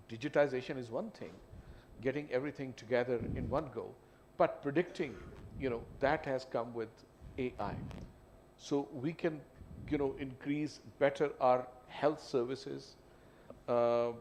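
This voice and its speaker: A man with a Pakistani English accent speaks on a business panel in a mid-range voice. He talks haltingly and keeps dropping in "you know". Faint noise sits under the recording. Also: mid-range voice, darkish tone. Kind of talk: panel discussion. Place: event hall.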